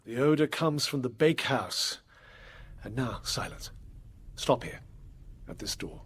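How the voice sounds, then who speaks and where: An actor playing an authoritative, secretive gentleman investigator in a period audio drama, husky, measured and formal. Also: mid-range voice. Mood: hushed, commanding.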